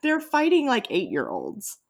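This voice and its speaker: A woman's voice: high voice, huge pitch swings, measured pace, gravelly, breathy edge. A woman hosting a casual podcast discussion, informal and jokey in how she talks. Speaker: podcast host.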